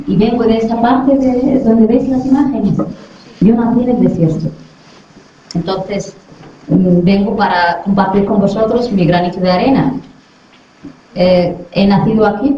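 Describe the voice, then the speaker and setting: A woman's voice: medium pitch, a little hiss or hum. Echoey, distant middle-aged woman talking to children. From Western Sahara. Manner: friendly, asks the children questions to check they follow. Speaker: dentist visiting a school class. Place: classroom. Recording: very echoey, far from the mic, dull lo-fi sound.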